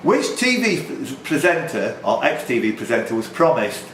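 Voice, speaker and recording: A man's voice: medium pitch, sing-song, normal speed, fairly bright tone. A man hosting a live pub quiz, reading out questions and teasing contestants with jokey banter. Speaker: quiz host. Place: pub, indoors. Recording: echoey, noisy pub room.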